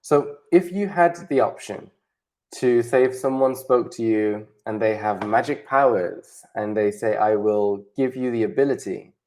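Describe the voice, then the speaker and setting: Relaxed British man tutoring. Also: mid-range voice, unhurried. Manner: relaxed, encouraging, short acknowledgements. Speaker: online English tutor. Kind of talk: casual tutoring conversation. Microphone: video call.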